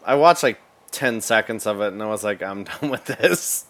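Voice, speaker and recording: A man's voice: lowish voice, animated, moderate pace, fairly bright tone. A man chatting casually as a co-host of a video game podcast. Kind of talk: casual chat.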